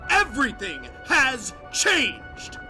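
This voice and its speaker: An actor playing a volatile, menacing boss with dramatic, drawn-out threats. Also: sits high, wildly swooping pitch, bright, forceful tone, soft background music, full character voice. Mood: furious.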